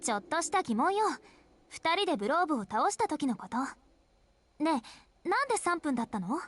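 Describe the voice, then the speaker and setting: A woman voicing a playful, curious young female vampire princess in a visual novel game, with a teasing, quick manner. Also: high voice, big pitch swings, a bit bright, dry recording. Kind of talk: Japanese game voice acting. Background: game music.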